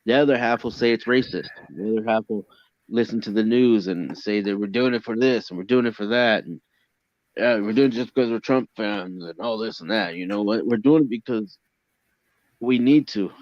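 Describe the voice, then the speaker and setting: A man co-hosting a casual online chat, talking in halting bursts that repeat words. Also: on the low side, dry recording, muffled recording. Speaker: co-host.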